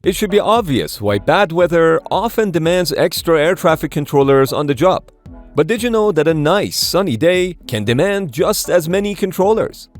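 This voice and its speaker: A man narrating an explainer in a scripted documentary style, with a measured, explanatory delivery. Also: on the high side. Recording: background music under voice. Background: music.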